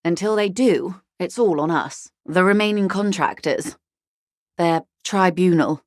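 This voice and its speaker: A woman playing a composed, world-weary corporate negotiator in a video game, speaking in a measured, articulate and formal way. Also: on the low side, no room sound, clean studio sound, natural voice. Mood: grave, diplomatic, guarded.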